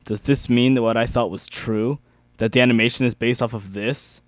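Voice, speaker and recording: A man's voice: medium pitch, steady tone, normal speed, dry recording. A young man giving an amateur dramatic reading of a first-person story.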